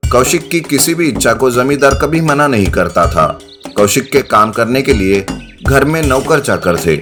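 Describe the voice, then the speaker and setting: A man's voice: medium pitch, big pitch swings, moderate pace, over music. A man reading a scripted children's-style moral story as the storyteller.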